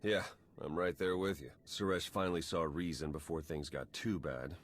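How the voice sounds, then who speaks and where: A man's voice: low voice, steady tone, normal speed, slight background noise, lightly acted. A man playing a weary, dry-witted, resolute intelligence agent in a video game, speaking in clipped, understated lines. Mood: weary, subdued.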